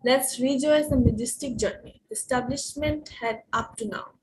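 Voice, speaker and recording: A woman's voice: high-pitched, moderate pace, a little mellow, low-quality recording. A young woman hosting a formal academic ceremony, delivering an elaborate and ornate welcome. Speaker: event host.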